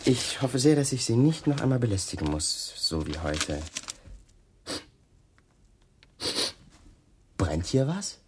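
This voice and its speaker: An actor in a German radio crime drama playing a pushy police detective who is mock-polite, probing and slyly insinuating. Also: male voice, mid-range voice, big pitch swings, moderate pace, slight background noise, lightly acted.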